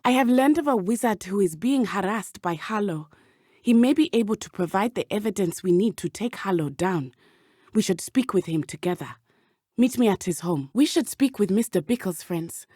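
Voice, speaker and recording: A woman voicing a brave, earnest teenage witch schoolgirl in a video game, speaking in a formal, measured way. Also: medium pitch, expressive, dark tone, no room sound, clean studio sound, close to an everyday voice. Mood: serious, determined. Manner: formal, measured, avoids contractions. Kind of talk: scripted game companion dialogue.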